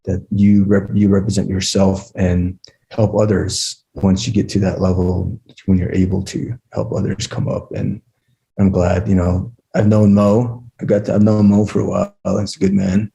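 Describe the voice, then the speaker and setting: Brief: male guest speaker, gravelly and relaxed, giving earnest, halting, informal remarks from the heart. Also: sits low, highs cut off. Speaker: guest speaker, screenwriter and mentor.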